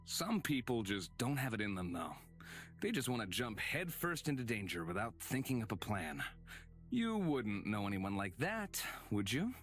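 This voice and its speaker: A shrewd, aloof male stranger from a visual novel, mocking and ominous. His voice sits low yet moves widely in pitch, over faint music. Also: big pitch swings, normal speed, fairly bright tone.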